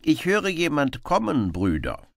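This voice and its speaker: A man puts on a jovial, sly storybook beggar with a voice pushed well up high. It is hearty and welcoming, with big swings in pitch. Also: wildly swooping pitch, unhurried, creaky, no room sound, clean studio sound, full character voice. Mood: hearty welcome.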